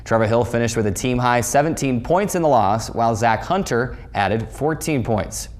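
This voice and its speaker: A man's voice: medium pitch, lively intonation, moderate pace. A male sportscaster with a mid-range voice reads a scripted sports recap. He delivers it like an announcer, leaning into the opening of each new story. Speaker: sports show host and play-by-play voice.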